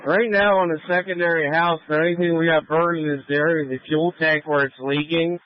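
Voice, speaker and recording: A man's fairly high voice comes through a thin, narrowband two-way radio. He is a fire operations officer giving clipped, procedural instructions in emergency radio traffic. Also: steady tone, a little fast, dry recording. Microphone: radio handset.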